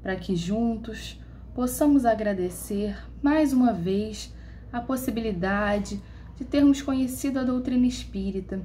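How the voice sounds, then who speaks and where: Female prayer leader, mellow dark tone, slow solemn devotional delivery, faint noise in the background. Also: on the high side. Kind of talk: reading and guided prayer.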